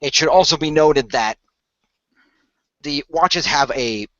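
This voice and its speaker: A man playing a composed, knowledgeable leader of a secret hero team, speaking in measured, formal explanations. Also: a little high, fairly bright tone, dry recording. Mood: earnest, explanatory. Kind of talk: amateur radio play acting. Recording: dry, slightly muffled home recording.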